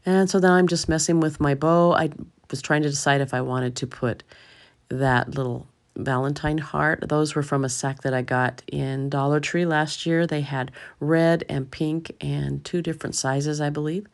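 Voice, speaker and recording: A middle-aged woman with a low, slightly hoarse voice, narrating a craft tutorial in a casual, chatty, self-deprecating way. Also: steady tone, normal speed. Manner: chatty, self-deprecating, chuckles at own mishaps. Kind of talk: casual voice-over narration of a DIY craft demo.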